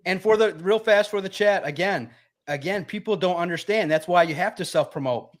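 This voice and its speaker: A man's voice: high voice, brisk. A man who talks in a somewhat raised register. He co-hosts a sports podcast, driving each point home through repetition as he talks up his own work. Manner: emphatic, self-promoting, repeats himself for emphasis. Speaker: sports writer and podcast co-host. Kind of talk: animated podcast talk.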